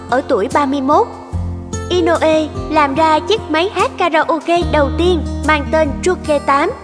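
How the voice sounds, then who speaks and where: A woman reading scripted narration for an animated story. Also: high voice, big-room sound. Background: background music.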